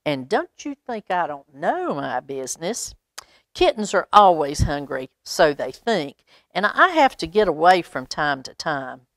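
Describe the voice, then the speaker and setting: A woman's voice: sits low, sing-song, a little slow, no echo, studio quality, heavily acted. A woman voicing a stern, curt, no-nonsense mother cat in a children's storybook, brusque and matter-of-fact. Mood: defensive.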